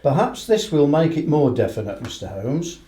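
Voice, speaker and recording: An actor playing an earnest, worried horse trainer in a radio play, plain-spoken and respectful. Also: male voice, fairly high pitch, big pitch swings, normal speed, background noise. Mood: earnest, uneasy. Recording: roomy, echoing radio play recording.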